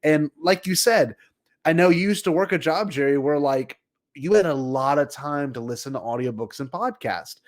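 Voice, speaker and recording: A man with a fairly high voice co-hosts a casual podcast. He talks in an animated, enthusiastic way with a joking streak. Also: normal speed. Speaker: podcast co-host.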